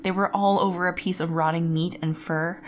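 A woman giving a dramatic storybook reading as a teenage girl haunted by a gruesome nightmare, grave and deliberate. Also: fairly low pitch, fairly even intonation, a little hiss or hum, close to an everyday voice. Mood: grave, disgusted. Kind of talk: dramatic reading of a horror passage.

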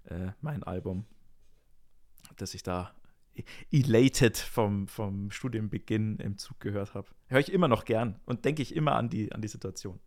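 A young man co-hosting a casual podcast, relaxed and easygoing, with frequent fillers. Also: fairly low pitch, moderate pace. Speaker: podcast co-host, jazz musician. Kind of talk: casual chat.

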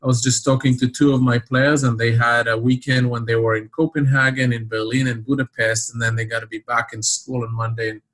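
A man's voice: mid-range voice, flat delivery, brisk, hardly any pauses, a bit bright, poor audio. Israeli-born man coaching volleyball, reflective. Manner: reflective, many fillers and restarts. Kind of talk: interview.

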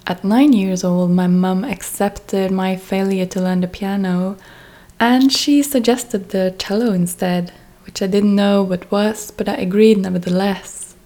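A woman from Norway, a freelance cellist, hosting her own podcast in an earnest, measured monologue that lists her steps one by one. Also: medium pitch, steady tone, dark tone. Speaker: podcast host. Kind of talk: reflective solo podcast monologue.

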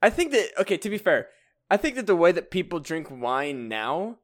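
A man's voice: high voice, big pitch swings, normal speed. A young man co-hosting a comedy podcast, rambling and swearing as he chats and laughs often. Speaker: podcast co-host. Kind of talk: casual comedic chat.

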